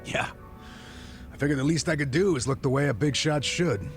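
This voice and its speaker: An actor voicing a blustering, slangy middle-aged ex-cop who bluffs as a rich benefactor in a video game. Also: male voice, a little high, fairly quick, faint music behind, lightly acted. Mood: casual, pleading. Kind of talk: video game cutscene dialogue.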